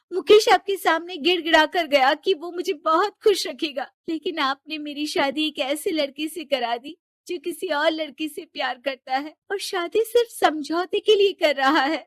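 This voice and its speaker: A woman plays a young cartoon daughter in love, earnest and defiant. She speaks high and emphatically, in a dry, close recording. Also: a bit bright, no echo.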